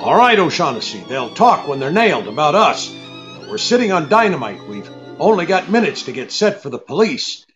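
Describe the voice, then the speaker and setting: An actor playing a tough, shrewd private eye in old-time radio drama style, clipped and hard-boiled. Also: male voice, a little high, big pitch swings, normal speed, lo-fi sound, music in the background. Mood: hard, accusing.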